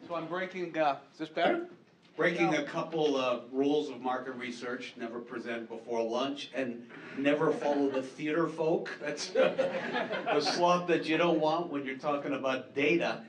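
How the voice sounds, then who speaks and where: A man with a New Hampshire accent presents at a conference in a chatty, self-deprecating way, stumbling over fillers. His voice sits a little high. It echoes around a large hall, picked up from some distance. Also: noisy background. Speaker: conference presenter, market researcher. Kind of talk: casual conference talk.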